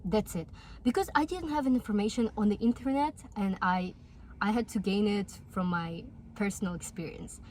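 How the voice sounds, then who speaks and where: A woman's voice: mid-range voice, moderate pace, darkish tone, slight background noise. A woman in her twenties from Russia with a Russian accent and Russian as her first language. She speaks earnestly and encouragingly in a casual talk to the camera. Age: 20s. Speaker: vlogger.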